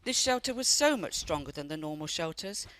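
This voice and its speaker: A woman's voice: sits low, lively intonation, fairly quick, fairly bright tone, no echo. An actress in a radio play playing a loving, wistful elderly grandmother who tells family memories in a reflective way. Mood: fond. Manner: reflective storytelling.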